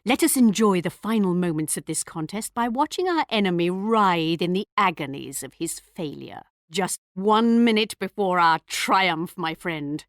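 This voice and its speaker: A woman's voice: fairly low pitch, lively intonation, moderate pace, hardly any pauses, no room sound, studio quality. A woman voicing a gracious, proud English queen in a strategy game, formal and poised, with a British accent. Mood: haughty, teasing. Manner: formal, poised, theatrically regal, lilting. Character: regal English queen, gracious, proud, politely cutting war ally. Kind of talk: scripted strategy game voice lines.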